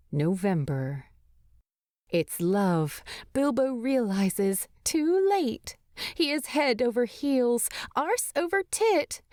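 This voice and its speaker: A woman narrating a story from a script in an expressive storytelling style. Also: on the high side, no echo, studio quality. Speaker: fan fiction narrator.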